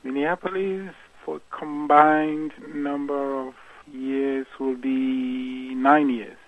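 A man's voice: mid-range voice, little pitch movement, slow pace. A man from Cameroon, a guest musician in a radio interview, speaking thoughtfully with many fillers and restarts. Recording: tinny phone line.

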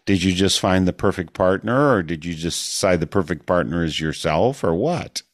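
A man hosting a radio interview, asking measured, curious questions. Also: low-pitched, lively intonation, dry recording, studio quality. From United States. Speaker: radio host.

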